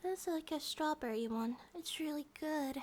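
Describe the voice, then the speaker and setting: A woman voicing an affectionate, playful bear-girl wife in a gentle, rambling, intimate ASMR roleplay, often dropping toward a whisper. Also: high voice, moderate pace, a little hiss or hum, close to an everyday voice. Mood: caring, cheerful. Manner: gentle, doting, hesitant rambling with soft giggles.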